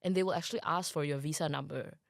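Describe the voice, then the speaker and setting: A young woman with a Malaysian accent speaks in a casual interview. Her voice has a gravelly edge, and she is recorded dry and close. She rambles in a chatty way, full of fillers and restarts as she thinks aloud. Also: low voice, quick pace, no room sound. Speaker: interview guest.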